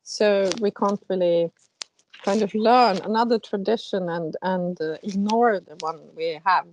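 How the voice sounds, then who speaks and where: A woman's voice: mid-range voice, measured pace, a little hiss or hum, poor audio. A woman interviewing musicians, hesitant and searching for words, with many uhs and restarts, speaking English as a second language. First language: Estonian. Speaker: interviewer host. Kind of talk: interview conversation.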